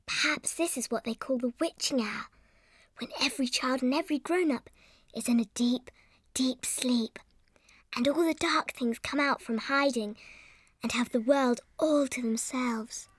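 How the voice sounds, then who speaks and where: A woman playing a curious, easily frightened young British orphan girl in a dramatized audiobook, breathy and exclamatory. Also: high voice, a little slow, no echo. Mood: hushed wonder.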